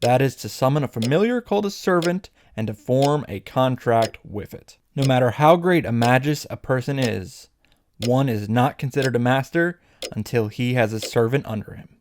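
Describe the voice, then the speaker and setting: A man's voice: medium pitch, lively intonation, moderate pace, darkish tone, slight background noise. A man narrating a comedic scripted recap as a video host, wry, playful and casually irreverent.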